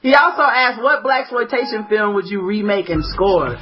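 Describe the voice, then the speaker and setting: A man hosting a podcast who says he has a high-pitched voice, joking around and swearing casually as he reads listener questions. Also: moderate pace, dull audio, over music. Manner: joking, profane, easily amused. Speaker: podcast host. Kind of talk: casual chat.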